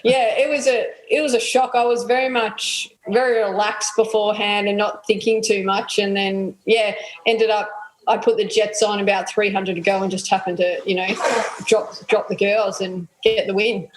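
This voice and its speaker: A relaxed Australian woman in her thirties answers interview questions casually, trailing off with ums and yeahs. Her voice sits at a middling pitch and carries a noticeable room echo. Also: normal speed, slight background noise. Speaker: athlete guest.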